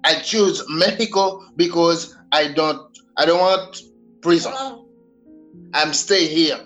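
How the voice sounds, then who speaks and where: A man from Haiti with a Haitian accent, answering interview questions in halting, simple second-language phrasing. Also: a little high, a bit bright, faint music behind. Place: apartment.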